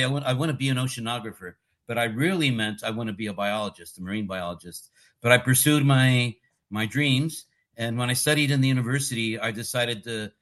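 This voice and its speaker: A man's voice: medium pitch, fairly bright tone. Californian-accented man in his sixties. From Costa Rica. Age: 60s. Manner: earnest, explanatory, building to emphatic points. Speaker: guest, marine conservation scientist. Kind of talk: casual interview talk.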